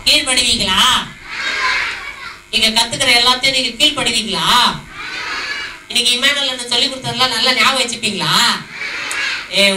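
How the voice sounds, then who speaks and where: A woman's voice: fairly high pitch, natural voice. A woman voicing a naughty boy puppet who teases and laughs like a cheeky thief, in an echoey hall with background noise. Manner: teasing, mischievous, laughing. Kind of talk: children's puppet show. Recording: echoey hall, far mic, noisy.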